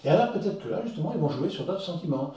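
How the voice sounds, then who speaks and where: Man leading a talk, fairly high mellow voice, measured explanations, mic far off in a reverberant room. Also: expressive. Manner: measured, explains step by step with examples. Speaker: discussion leader on psychology. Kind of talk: explanatory conversational discussion. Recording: very echoey room, distant mic.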